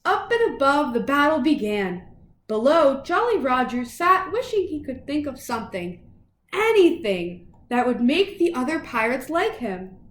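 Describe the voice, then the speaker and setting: A woman reading a children's picture book aloud in an expressive, dramatic way for young listeners. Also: sits high, unhurried, reverberant, a little hiss or hum. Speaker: children's storybook reader.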